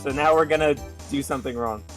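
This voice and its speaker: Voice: mid-range voice, fast talker, faint music behind. A streamer chatting casually over a game, sweary and exasperated. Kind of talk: casual gaming chat.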